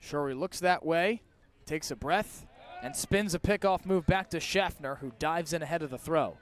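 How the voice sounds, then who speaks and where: A man's voice: on the high side, moderate pace. A man doing live radio baseball play-by-play outdoors at a ballpark, in clipped, descriptive calls that rise with the action. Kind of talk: live radio sports commentary.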